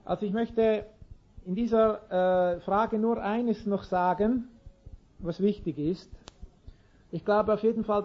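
Husky high-voiced man preaching. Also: muffled recording. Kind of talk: informal sermon.

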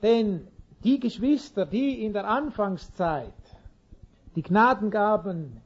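Man, preacher, husky voice, high pitch, earnest informal sermon. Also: animated, slow pace, lo-fi sound.

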